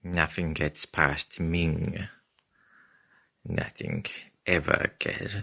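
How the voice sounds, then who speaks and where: A man voicing a watchful, menacing guard type with a gravelly, ominous delivery. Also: deep voice, little pitch movement, drawn-out words, sharp tone, no room sound, voice pushed down, full character voice.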